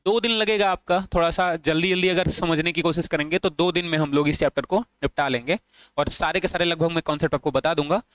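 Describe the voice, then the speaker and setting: A man's voice: high-pitched, a bit bright, smooth, no room sound. A man teaching an online exam-prep lesson, repeating points and asking and answering his own questions, with English phrases mixed into his Hindi.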